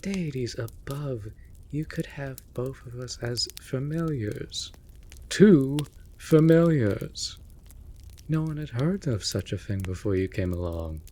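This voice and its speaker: An actor playing a mage's raven familiar in a fantasy audio drama, theatrical and formal, scolding but caring. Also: male voice, mid-range voice, lively intonation, slow pace, dark tone, a little hiss or hum. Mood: exasperated, scolding. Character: male raven familiar of a storm mage, exasperated but devoted. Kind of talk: scripted fantasy audio roleplay.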